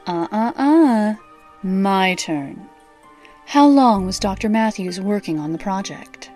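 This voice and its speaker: A mellow, menacing blade fighter. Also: female voice, medium pitch, lively intonation, slow, faint music behind. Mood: cool, teasing. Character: cool no-nonsense human superhero-fighter woman, teasing and menacing. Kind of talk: audio drama acting.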